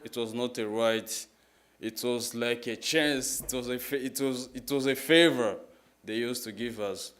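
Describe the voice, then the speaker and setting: A man in his twenties with a Congolese accent giving a personal testimony as a public talk, halting and repeating his phrases in plain words. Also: mid-range voice, steady tone, a bit bright. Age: 20s. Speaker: guest speaker at a church, sharing testimony.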